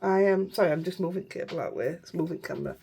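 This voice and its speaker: A middle-aged woman with a Yorkshire accent, hoarse and unwell, chatting through a casual crafting tutorial in a croaky voice and rambling as she goes. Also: fairly low pitch, quick pace, mellow. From Yorkshire, England. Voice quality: croaky, rough voice. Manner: chatty, rambling, self-interrupting. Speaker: craft channel host.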